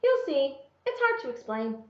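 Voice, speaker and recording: A woman reads as a resourceful storybook girl, earnest and dryly confident, with a slightly raised pitch. The room echoes and the sound is a little muffled. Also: big pitch swings, normal speed. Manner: dry, confident. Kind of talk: storybook character reading. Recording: roomy, slightly dull sound.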